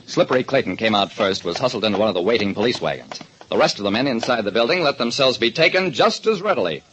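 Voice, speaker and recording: An actor playing a private detective who narrates in a dry, deliberate way in a radio drama. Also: male voice, mid-range voice, animated, fairly bright tone, slight background noise, close to an everyday voice. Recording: dull lo-fi old radio broadcast.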